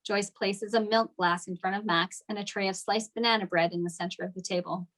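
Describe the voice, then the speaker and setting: A woman's voice: medium pitch, fairly even intonation, fairly quick, a bit bright. A woman giving scripted narration, reading out stage directions at a table read.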